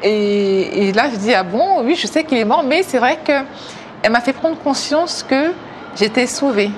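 A woman's voice: on the high side, lively intonation, slight background noise. Hesitant woman telling her faith story. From Africa. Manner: hesitant, many pauses and fillers. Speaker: testimony speaker. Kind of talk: personal faith testimony.

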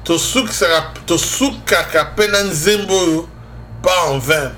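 A Congolese man preaches on a religious radio show in a high voice with a Congolese accent. He takes his time, speaking slowly and emphatically in a conversational way, switching languages as he goes. There is faint noise behind him. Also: sharp tone. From Democratic Republic of the Congo. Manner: emphatic, switching between Lingala and French. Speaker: religious broadcast host and preacher.

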